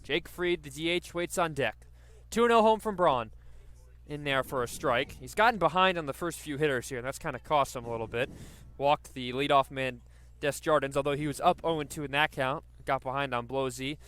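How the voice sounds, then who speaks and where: A man's voice: on the high side, brisk, fairly bright tone, clean tone, no echo. A man doing live radio baseball play-by-play, describing the action and working in stats as he goes. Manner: descriptive, stat-laden delivery.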